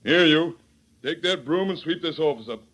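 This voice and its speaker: A man's voice: fairly high pitch, lively intonation, normal speed, fairly bright tone. A man playing a crusty, grumbling stagecoach office boss in a 1930s radio western, with a folksy, irritable drawl. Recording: dull, lo-fi 1930s radio recording.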